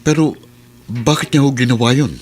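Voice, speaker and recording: A man's voice: medium pitch, moderate pace, lightly acted. An actor playing an anguished, devoted lover and father in a radio drama, speaking earnestly and emotionally. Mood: earnest, distressed. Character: anguished man in love, fiercely devoted new-found father. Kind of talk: Tagalog radio drama acting.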